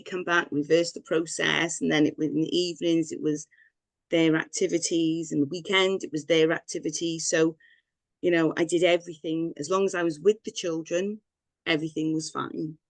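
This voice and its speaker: A woman's voice: low voice, flat delivery, normal speed, dry recording. A woman from Wales, a guest telling her own story in a rambling, candid way with frequent laughs. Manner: rambling, personal, laughs often. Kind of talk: candid personal story in interview.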